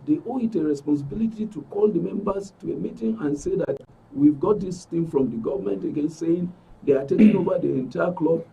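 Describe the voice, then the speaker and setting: A man with a Nigerian accent and a husky voice giving an emphatic account as an interview guest, repeating phrases for stress. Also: high-pitched, a little fast, warm tone, far from the mic, rough recording. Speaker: interview guest, club member. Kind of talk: spoken account in a broadcast interview.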